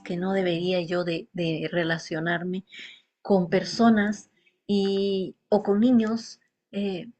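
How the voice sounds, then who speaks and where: A woman telling her personal life story to an audience in a reflective, halting way, often pausing mid-phrase. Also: medium pitch, warm tone. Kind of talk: confessional monologue to an audience.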